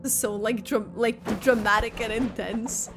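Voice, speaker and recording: A woman giving casual, expressive reaction commentary while playing through a video game. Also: on the high side, a little slow, slight background noise. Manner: excitable, expressive, exclaiming. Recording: loud game music behind the voice. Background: game music.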